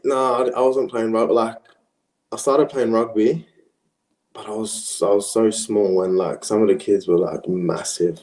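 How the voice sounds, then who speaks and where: A young man from Australia, whose first language was French, chatting casually as an interview guest in a relaxed, rambling way with many fillers. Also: on the low side, measured pace. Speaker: interview guest, professional footballer. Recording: echoey room.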